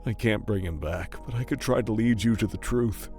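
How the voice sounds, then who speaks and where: An actor playing a weary, resolute middle-aged police officer in an earnest video game drama. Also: male voice, fairly low pitch, moderate pace, darkish tone, soft background music, lightly acted. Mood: regretful.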